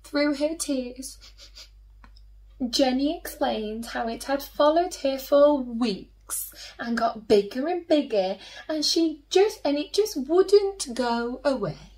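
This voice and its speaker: A woman reading aloud expressively to young children as a storyteller, gently putting questions to her listeners. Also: high voice, expressive, slow pace, poor audio.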